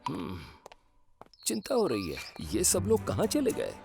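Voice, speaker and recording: A woman's voice: on the low side, wildly swooping pitch, unhurried, vocal fry, over music, full character voice. A woman voicing a caring but fussy, scolding grown-up station chief in a children's cartoon dub. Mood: worried.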